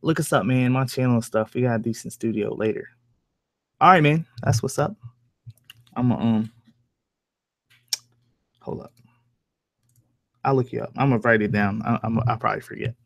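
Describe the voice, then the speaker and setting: A young man with a soft voice and a middling pitch hosts a casual livestream. His talk rambles along in loose slang with plenty of swearing. The sound is close and dry, with little room to it. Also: moderate pace, gravelly, long pauses, no room sound. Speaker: livestream host, horror story narrator. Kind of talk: casual livestream chat with viewers.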